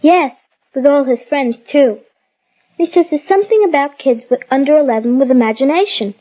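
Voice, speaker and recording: Woman playing a high-voiced, eager little girl. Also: fairly quick, natural voice. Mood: eager, secretive. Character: excited, secretive little girl at play. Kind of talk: mid-century American radio drama acting. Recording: muffled old radio recording.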